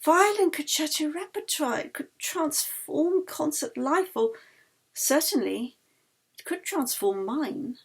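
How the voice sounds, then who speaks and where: A woman narrator voicing an earnest, reflective woman violinist in a storybook, thoughtful and emphatic, trailing into hushed tones. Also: high-pitched, animated, slow, vocal fry, voice pushed up, full character voice. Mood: hopeful, wondering.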